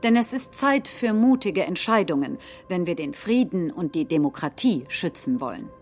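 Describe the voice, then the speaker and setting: A woman acting in documentary theatre, delivering nationalist political speeches in a deliberate, declamatory manner. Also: fairly low pitch, big pitch swings, soft background music. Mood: solemn, resolute. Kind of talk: staged reading of political speeches.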